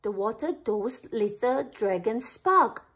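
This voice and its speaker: A woman storyteller reading a picture book aloud for children, with animated, deliberate phrasing. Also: a little high, slightly rough.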